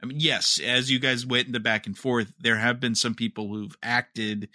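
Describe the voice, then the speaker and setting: A man's voice: mid-range voice, flat delivery, normal speed, pushed and bright, no room sound, clean studio sound. A man co-hosting a podcast in casual chat, rambling a little and often repeating words as he goes. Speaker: podcast co-host. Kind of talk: casual chat about TV and fandom.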